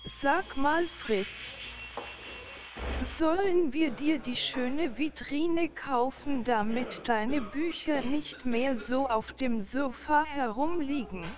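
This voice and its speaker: An actress playing a doting, prim sister in a German radio drama, with an earnest, deliberate way of speaking. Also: female voice, sits high. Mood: fond.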